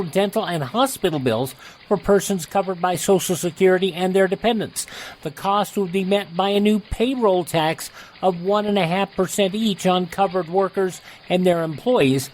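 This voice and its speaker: A man's voice: high-pitched, a little mellow, a little hiss or hum, rough recording. A man hosting a radio show, reading old headlines as a scripted announcer. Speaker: radio host and news reader.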